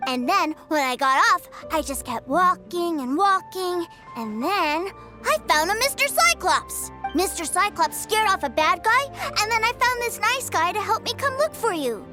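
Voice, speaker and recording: A woman's voice: very high-pitched, expressive, unhurried. A woman voicing a sweet, innocent little boy who speaks eagerly in a video game. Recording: game audio over music.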